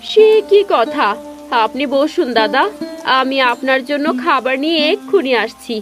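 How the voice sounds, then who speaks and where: A caring village housewife from a cartoon , played by an actress, fretful and expressive. Her voice is high, with soft music behind it. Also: normal speed. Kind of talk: Bengali cartoon voice acting.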